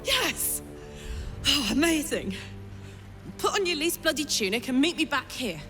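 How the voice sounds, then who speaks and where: An actress voicing an eager, playful young adventurer on a date in a video game. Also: female voice, high voice, very animated, a little slow, over music. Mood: excited. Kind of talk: dramatic video game acting.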